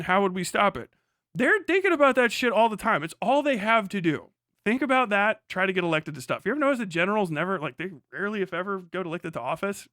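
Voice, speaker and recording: A man's voice: high-pitched, bright, forceful tone, no room sound, studio quality. A man doing casual, joking reaction commentary as a live streamer, sarcastic and swearing freely.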